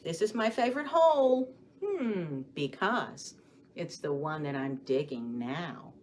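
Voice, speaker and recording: Lady doing kids storytime, really lively up and down voice, sing-songy, noticeable noise in the background. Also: fairly low pitch. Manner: addresses children directly with questions and pauses for answers; sing-song, expressive delivery. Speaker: children's librarian hosting storytime. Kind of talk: storytime read-aloud and rhymes for young children. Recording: clearly audible background noise.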